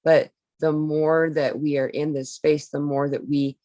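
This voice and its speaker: A woman with a low voice talks earnestly about spiritual ideas. She explains in long, flowing run-on sentences. The sound is dry and close. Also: level tone, unhurried, warm tone, dry recording. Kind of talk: casual spiritual talk.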